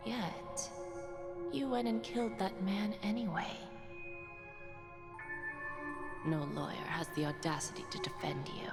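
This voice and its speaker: A woman playing a cool, menacing interrogator of high rank in a video game, teasing and probing in a breathy half-whisper. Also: animated, normal speed, close to an everyday voice. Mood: quiet menace. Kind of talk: dramatic video game dialogue. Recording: long hall reverb, loud game music.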